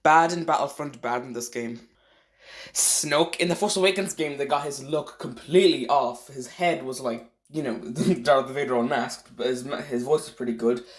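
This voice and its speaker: A man with a middle-pitched voice talks through his rankings like a casual online host. His delivery is loose and unpolished, opinionated yet hesitant, wandering as he goes. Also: moderate pace. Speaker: video host ranking game voice actors.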